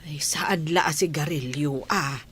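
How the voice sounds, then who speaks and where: A woman's voice: deep voice, a little slow, a bit bright, slight background noise. A woman playing a chatty young village woman in a radio drama, often exclaiming.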